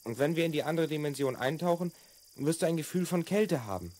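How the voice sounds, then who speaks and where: A man's voice: fairly high pitch, animated, fairly quick, a little mellow. An actor playing a confident twelve-year-old boy from the future in a children's audio drama, explaining and instructing with assurance. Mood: explanatory, assured. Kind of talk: 1970s German children's audio drama acting.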